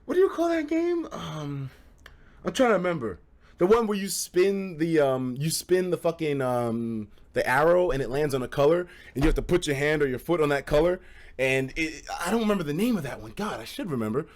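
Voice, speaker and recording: A man's voice: on the high side, fairly quick. A young man with an American accent telling a casual story to a live chat, slangy and profane, acting out the dialogue as he goes. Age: young adult. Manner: animated, slangy and profane, acts out quoted dialogue. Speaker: streamer.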